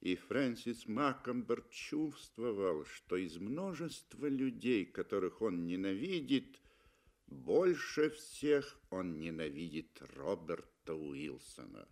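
A man narrating a story in a measured, deliberate, expressive way, in the style of 1960s radio drama. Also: fairly low pitch.

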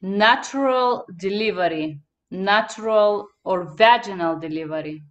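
Young woman, Bulgarian native speaker, unhurried instructor reading terms on a headset call. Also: mid-range voice, dull audio. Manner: slow, repeats each term twice. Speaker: interpreting instructor. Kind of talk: terminology drill on a video call. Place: small room indoors.

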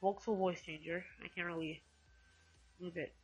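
A woman hosting a casual software tutorial in a hesitant, rambling way, often correcting herself. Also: on the low side, steady tone, moderate pace, breathy edge, soft background music, low-quality recording. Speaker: tutorial host.

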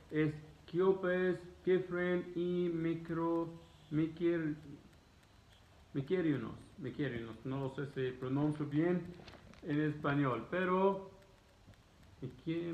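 A man in his thirties from Egypt who speaks Spanish as a second language, talking casually as a travel vlogger and answering viewer questions from his living room. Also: fairly high pitch. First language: Arabic. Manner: animated, gesturing, Spanish learned as a second language. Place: living room at home, indoors. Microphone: phone camera at arm's length. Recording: tinny phone-like sound in an echoey room.

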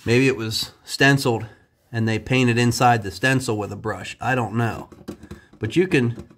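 A man's voice: medium pitch, a little slow, a little hiss or hum. A man chatting casually in his garage workshop as he shows off an old three-wheeler, joking at his own expense. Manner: chatty, wry self-deprecating jokes. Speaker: vintage three-wheeler restorer. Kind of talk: casual workshop show-and-tell.

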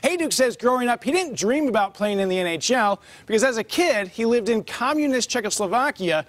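High-voiced male news anchor, dry studio. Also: expressive, fairly quick, a bit bright, no room sound. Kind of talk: scripted news reading.

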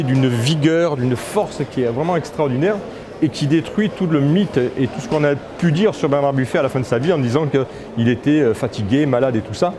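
A man in his fifties, an art dealer, presenting a painting with emphasis at an art fair. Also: medium pitch. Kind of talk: explaining an artwork on camera. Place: art fair stand indoors. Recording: crowd chatter behind.

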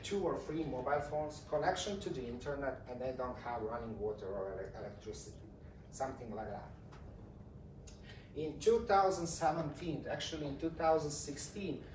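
Croatian-accented man presenting in a roomy space. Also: mid-range voice. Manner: informal, repeats words, non-native phrasing. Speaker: conference speaker, tech company CEO. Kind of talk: conference presentation. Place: conference talk room. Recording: roomy, distant mic with faint noise.

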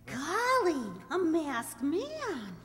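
A woman's voice: very high voice, very animated, very slow, a bit bright, full character voice. A woman playing an innocent, chirpy ten-year-old farm girl in an old-time radio drama, childlike and guileless, on a hall stage. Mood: innocent, curious. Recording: echoey hall stage recording.

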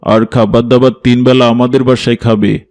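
Even-toned, devout middle-aged father played by a man. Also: medium pitch, moderate pace, natural voice. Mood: welcoming, formal. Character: strict, devout, proud middle-aged father, mosque president. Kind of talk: cartoon drama dialogue.

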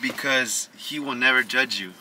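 A man's voice: mid-range voice, normal speed, bright, forceful tone. A man in a casual group chat, speaking emphatically and repeating himself to make a point. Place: inside a car. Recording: noisy car interior, distant mic. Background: vehicle and road noise.

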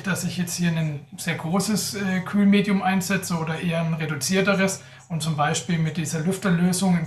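A man's voice: a little high, far from the mic. Hesitant young man, roomy and off-mic. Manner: thoughtful, many hesitation fillers. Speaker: company expert answering audience questions. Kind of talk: live Q&A on a video call. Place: indoors in front of a sponsor backdrop.

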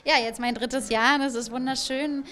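A woman's voice: high-pitched, steady tone, moderate pace, pushed and bright, clear, resonant tone. A woman in her thirties, an actress raised bilingual in German and Italian, chatting in an interview at an event with fillers and restarts. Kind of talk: casual interview chat. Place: indoor event room, standing by a small table. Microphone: handheld mic held by interviewer.

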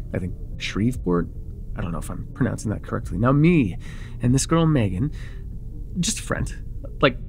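A man's voice: mid-range voice, gravelly. A man playing an eager, awkward young vampire in an intimate ASMR roleplay, rambling nervously and stumbling over his words. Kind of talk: intimate ASMR roleplay, one-sided conversation.

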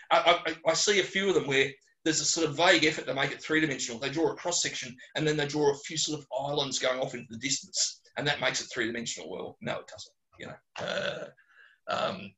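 An Australian man, a geologist answering questions informally on a webinar, speaking bluntly and colloquially with animated digressions. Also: fairly high pitch, poor audio. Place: small closed room at home or office, on a video call.

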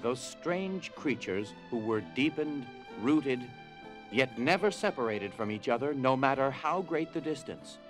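A man narrates an audio drama in a medium-pitched voice. His delivery is slow, solemn and measured, with soft music behind him. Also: lively intonation, rough recording.

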